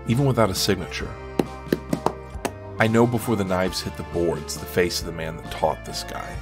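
A man's voice: low voice, moderate pace, close to an everyday voice. A man playing a world-weary American hero, narrating a comic caper in a dry, sardonic, terse way. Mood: brooding. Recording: music behind the voice.

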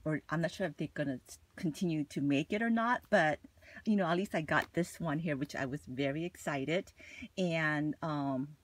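A woman's voice: sits low, moderate pace. A woman vlogging casually about her shopping haul, chatty and gushing, with plenty of "like" and "you know". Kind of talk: casual haul vlog chat.

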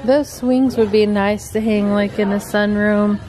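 A woman's voice: medium pitch, steady tone, unhurried, dark tone, soft background music, low-quality recording. A middle-aged woman, filming a chatty shopping vlog in a home decor store and gushing over cute items on a handheld camera. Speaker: vlogger. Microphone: handheld camera mic.

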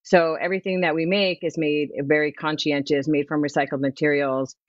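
A middle-aged woman, a swimwear brand founder, chatting lively and laughing easily as a guest over a remote video call. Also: sits low, brisk, no echo. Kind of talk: promotional interview chat. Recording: dull, dry video call audio.

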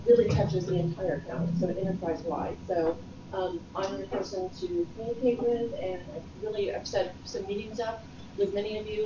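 A woman's voice: medium pitch, background noise. A woman from Houston introducing herself at a work meeting in an eager, friendly way, recorded from across a room. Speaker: new executive team member. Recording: far-off roomy lo-fi meeting recording.

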